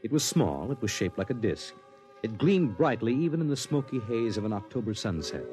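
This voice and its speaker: A man narrating a 1950s radio drama in an expressive, storytelling way. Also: fairly low pitch, brisk, music in the background.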